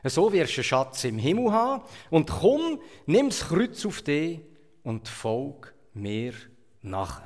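A man from Switzerland with a Swiss German accent, preaching a sermon in a storytelling manner, swinging between loud emphasis and quiet asides. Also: a little high, huge pitch swings, slow pace. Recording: reverberant hall.

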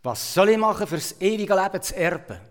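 A man from Switzerland with a Swiss German accent, preaching a sermon in a storytelling manner, swinging between loud emphasis and quiet asides. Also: sits high, animated, fairly quick. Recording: reverberant hall.

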